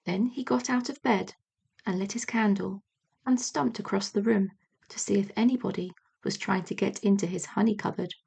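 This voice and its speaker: A woman reading a children's storybook as an audiobook narrator, measured and expressive. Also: medium pitch, creaky, breathy edge. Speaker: audiobook reader.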